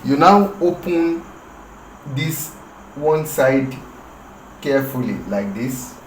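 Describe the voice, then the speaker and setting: Slow Nigerian man explaining tailoring. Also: medium pitch, lively intonation. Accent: Nigerian English, Yoruba. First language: Yoruba. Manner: slow, hesitant, explaining with pauses and restarts. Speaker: tailoring instructor. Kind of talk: tutorial demonstration. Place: room with a patterned rug, working on the floor. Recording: echoey room, faint ambient noise.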